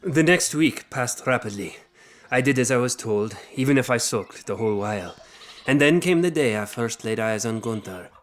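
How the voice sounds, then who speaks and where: An actor playing a weathered knight who recounts his childhood in formal, measured phrases, in audio drama. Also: medium pitch, lively intonation, close to an everyday voice. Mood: reflective.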